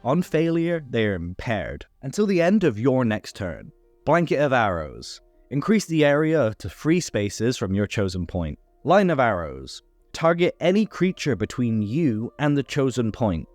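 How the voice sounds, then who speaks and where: A man's voice: medium pitch, big pitch swings, measured pace, dry recording, faint music behind. A man hosting a tabletop game tutorial, reading a scripted rules explainer.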